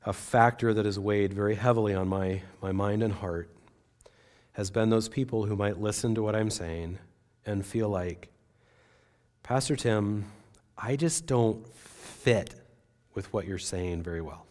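A man in his forties, a pastor, preaching an earnest, measured sermon from a pulpit on a church stage. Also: lowish voice, a little mellow.

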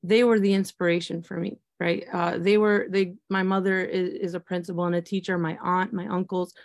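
A woman's voice: fairly low pitch, level tone, normal speed, gravelly, no room sound. A woman teacher on a panel, speaking earnestly and reflectively, with many fillers and restarts. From United States. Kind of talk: panel discussion answer.

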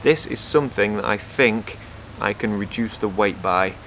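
Chatty lower-voiced man reviewing gear outdoors. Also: normal speed, background noise. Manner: chatty and upbeat, lots of asides and fillers. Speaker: outdoor photographer and vlogger. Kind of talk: casual gear review to camera. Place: outdoor garden patio in front of a brick house. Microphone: camera on a stand facing him, close.

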